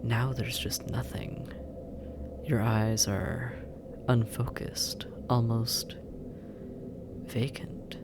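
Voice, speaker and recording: An actor playing a sardonic, possessive demon captor in an intimate audio roleplay, musing and teasing, often dropping into breathy murmurs. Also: medium pitch, steady tone, drawn-out words, pauses often, in a whisper. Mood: musing, puzzled. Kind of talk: intimate scripted audio roleplay addressed to listener.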